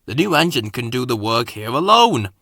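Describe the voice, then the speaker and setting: Blustery male railway boss for a storybook man acting it out, booming, authoritative, forceful, very animated. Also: moderate pace, no room sound, studio quality, exaggerated voice. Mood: kindly, brisk.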